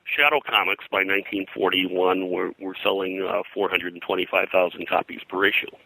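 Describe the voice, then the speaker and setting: Low, creaky-voiced man with a bright edge. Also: fairly even intonation, no room sound, low-quality recording. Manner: halting, detail-heavy, informative. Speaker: interviewed publisher and pop-culture historian. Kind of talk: conversational interview, explaining history.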